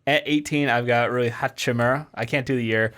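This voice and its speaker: Mid-pitched man talking sports casually. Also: steady tone, a little fast, a bit bright. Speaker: sports show co-host and analyst.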